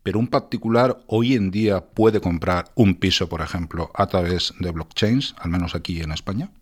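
Deep-voiced male interviewer. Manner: brief backchannels, measured questions. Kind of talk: interview questions.

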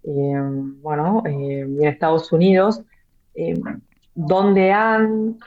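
A woman's voice: on the low side, fairly even intonation, slow. A woman answers interview questions at length. She talks with frequent fillers and restarts. The sound is dull and lo-fi. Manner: hesitant, many fillers and repeated words. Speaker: author and blockchain real estate guest.

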